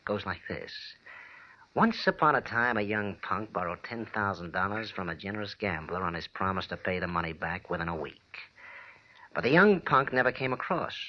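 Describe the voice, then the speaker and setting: A low-voiced, menacing tough guy played by a male actor from an old radio crime drama. He drawls with smug, mock courtesy and sardonic relish. The sound is dull, vintage lo-fi. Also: lightly acted. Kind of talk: 1940s radio detective drama acting. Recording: dull, lo-fi 1940s radio recording.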